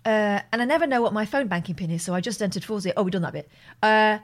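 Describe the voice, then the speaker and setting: Female co-host, middle pitch, deadpan teasing, chatty storyteller. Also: rapid-fire. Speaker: podcast co-host telling a story. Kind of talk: casual anecdote telling.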